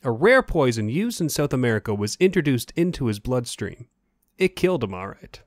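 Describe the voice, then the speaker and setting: A man's voice: mid-range voice, sing-song, moderate pace, no room sound, studio quality, natural voice. A man playing a matter-of-fact hospital lab colleague reporting his findings in a radio mystery. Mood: grave, factual. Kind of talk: remake of classic radio mystery acting.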